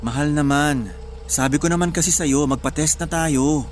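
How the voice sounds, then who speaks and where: An actor playing a hot-headed, joking husband with a Filipino accent in animated, colloquial radio drama dialogue. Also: male voice, fairly high pitch, normal speed, close to an everyday voice. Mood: pleading, frustrated. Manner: animated, colloquial, exasperated or teasing.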